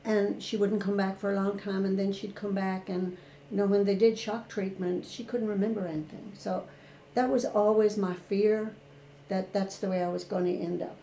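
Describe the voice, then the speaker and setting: A woman's voice: mid-range voice, little pitch movement, moderate pace, dark tone, reverberant, a little hiss or hum, low-quality recording. An older woman with an Irish accent, a podcast guest telling her own story in a candid, reflective way. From Ireland. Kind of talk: personal recovery story in a podcast interview.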